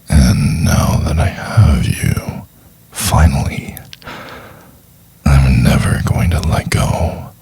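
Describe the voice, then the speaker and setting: Man acting as a gravelly, very deep whispering obsessive stalker. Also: darkish tone, full character voice. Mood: controlling, menacing. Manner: slow, deliberate, coaxing and condescending. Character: obsessive possessive male stalker, menacingly tender lover. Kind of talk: boyfriend ASMR roleplay, intimate murmuring.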